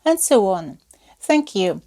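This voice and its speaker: A woman folklore researcher speaking English. Her voice sits at a middle pitch. She delivers a carefully paced, scripted explanation, calm and methodical. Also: slow pace. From Estonia. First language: Estonian. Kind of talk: scripted educational talk.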